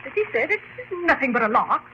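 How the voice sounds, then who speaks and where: An actor playing a dismissive member of a haunted-house party in an old radio drama. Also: male voice, very high-pitched, big pitch swings, fast. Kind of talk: 1950s radio drama acting. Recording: noisy lo-fi old radio recording.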